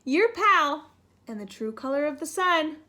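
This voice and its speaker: Storybook crayon, male, proud and self-important, high and deliberate, read by a woman. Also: lively intonation, sharp tone, exaggerated voice. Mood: boastful, insistent. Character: proud, boastful, squabbling male yellow crayon. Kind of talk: children's storybook read-aloud.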